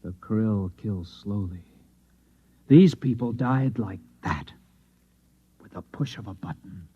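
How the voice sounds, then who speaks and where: Frightened, husky man on vintage radio. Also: lowish voice. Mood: grave, haunted. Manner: agitated, halting, pleading. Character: frightened man insisting he is a displaced Martian. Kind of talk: old-time radio acting. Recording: dull, lo-fi old radio recording.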